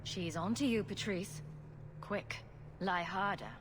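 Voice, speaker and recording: A woman's voice: medium pitch, takes it slowly, background noise, lightly acted. A woman voicing a sarcastic young female rogue hero who talks in a dry, muttering way. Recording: game audio with music behind.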